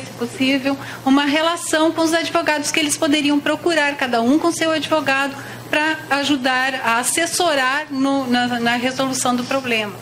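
Brazilian woman, audience commenter, high voice, measured, faint ambience. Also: fairly bright tone, a little hiss or hum, poor audio. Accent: Brazilian Portuguese. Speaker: audience member. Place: large auditorium.

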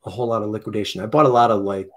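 A man's voice: fairly low pitch, fairly even intonation, racing. A man in his thirties as a podcast guest, chatting casually on a video call with a headset mic, often restarting and correcting himself. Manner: rambling, self-correcting, many restarts. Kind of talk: casual chat. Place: home room with posters. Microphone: headset and desk mic, video call.

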